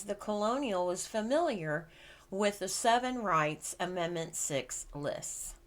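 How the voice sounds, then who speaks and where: A woman reading scripted nonfiction aloud as a narrator, with measured, deliberate phrasing and emphatic pauses. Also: mid-range voice, a bit bright. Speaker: reader of a legal book.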